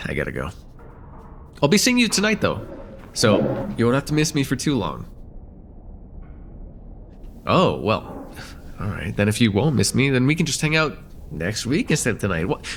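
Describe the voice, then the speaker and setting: Young male student, played by a man, smitten and playfully teasing, for intimate audio roleplay; casual banter, expressive intonation, some vocal fry. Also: mid-range voice, a little hiss or hum. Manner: casual, soft-hearted, hesitant, chuckling banter. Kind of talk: slice-of-life ASMR roleplay, intimate one-sided dialogue.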